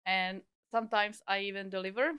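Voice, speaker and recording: Czech-accented woman, thirties, chatty guest, smooth tone. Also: medium pitch, little pitch movement, a little fast, a bit bright. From Czech Republic. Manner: chatty, laughing. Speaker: guest, back office of bakery. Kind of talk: casual interview chat. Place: bakery.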